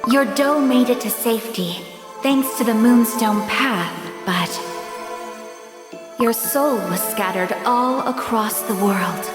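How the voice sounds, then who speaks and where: A woman playing a solemn, sorrowful guardian in an animated game story, speaking slowly and formally. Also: a little high. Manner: slow, solemn, formal speech. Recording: reverberant hall effect under music.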